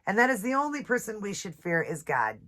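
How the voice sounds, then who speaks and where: A woman with a stuffed-up voice from a cold, chatting casually and reading devotional passages slowly. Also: mid-range voice, very animated, a bit bright. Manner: chatty, reads scripture slowly, apologizes often. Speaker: nail and devotional vlogger. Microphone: ring light setup, camera close.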